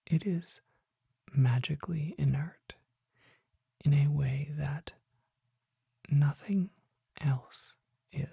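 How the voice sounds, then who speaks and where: Slow, breathy whispering vampire voiced by an ASMR performer. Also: mid-range voice, warm tone, no echo, exaggerated voice. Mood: hushed, mysterious. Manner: slow, deliberate, measured pauses, formal wording. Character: mysterious, learned vampire apothecary showing magical treasures. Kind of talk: whispered ASMR roleplay, slow scripted monologue. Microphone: close microphone.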